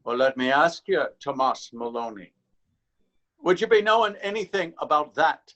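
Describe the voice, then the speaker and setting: A suspicious, self-important policeman played by a male actor in a readers theater play. He questions deliberately, on a slightly dull recording. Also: on the high side, a bit bright, close to an everyday voice. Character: officious, suspicious Dublin policeman. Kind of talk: readers theater stage acting.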